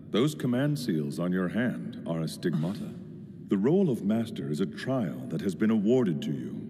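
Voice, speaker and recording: Deep, solemn anime priest. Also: male voice, husky, heavily acted. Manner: formal, measured, theatrical, portentous. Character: solemn, smugly composed adult male priest overseeing a deadly ritual. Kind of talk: English anime dub acting. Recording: long hall reverb under loud music.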